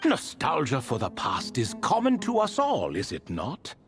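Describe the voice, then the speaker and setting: An actor playing an ancient, wry and refined spirit who tells stories in a proud, wistful way in a video game. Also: male voice, medium pitch, wildly swooping pitch, unhurried, music in the background. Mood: wistful, solemn. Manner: theatrical, refined, leisurely storytelling. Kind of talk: video game character dialogue.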